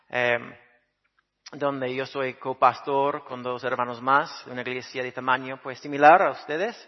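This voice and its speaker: A man's voice: mid-range voice, in a large hall, lo-fi sound. A man from Spain whose Spanish has taken on a Mexican accent, preaching a sermon as a guest missionary pastor in an earnest, conversational way with frequent hesitations. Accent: Spanish with Mexican Spanish influence.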